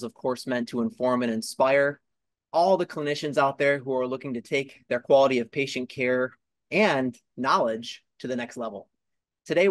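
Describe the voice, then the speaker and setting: An upbeat man hosts an interview in a casual, eager way, with many easy fillers. His voice sits at an ordinary middle pitch. The sound is dry and close, with no room around it. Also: no echo. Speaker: interview host.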